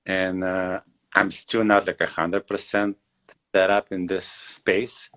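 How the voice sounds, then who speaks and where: Man, forties, from Bulgaria, English, deep voice, halting with lots of fillers, video call. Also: flat delivery, a bit bright. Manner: hesitant, many fillers and pauses. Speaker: guest leatherworker and shoemaker. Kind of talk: casual interview over video call.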